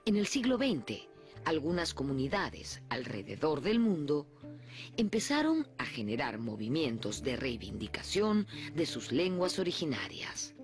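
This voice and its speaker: A woman reading a scripted documentary narration in a clear, measured way. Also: low voice, very animated, soft background music.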